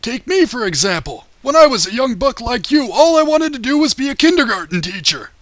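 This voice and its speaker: A man's voice: very high voice, very animated, a little fast, dry recording. A man voicing a senile old blacksmith who gives folksy, rambling advice in a comedic cartoon parody dub. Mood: folksy, musing. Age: older.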